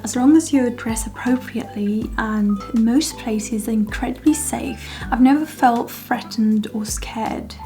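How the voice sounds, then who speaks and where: A young woman from Okinawa, Japan, with a Japanese accent and Japanese as her native language, narrating a scripted vlog in a calm, measured, soft-spoken way. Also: fairly high pitch. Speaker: language vlogger.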